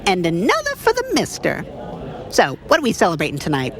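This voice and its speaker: Breezy, very animated barmaid actress, crowd behind. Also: female voice, lowish voice, a little fast. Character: breezy, chatty woman serving drinks at a bar. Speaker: voice actor in an audio drama. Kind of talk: audio drama acting. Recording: busy crowd chatter behind.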